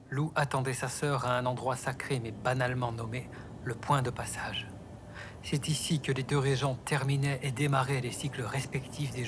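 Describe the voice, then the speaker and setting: A man narrating a scripted fantasy audio drama as a storyteller. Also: mid-range voice. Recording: clear background noise and ambience.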